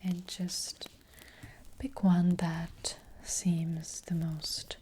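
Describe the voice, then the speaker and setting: A woman voicing a gentle witch fortune teller in a soft ASMR roleplay, whispering breathily and hesitantly, close to the microphone. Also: fairly low pitch, flat delivery, slight background noise. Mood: gentle, attentive. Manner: gentle, hesitant, slow and reassuring.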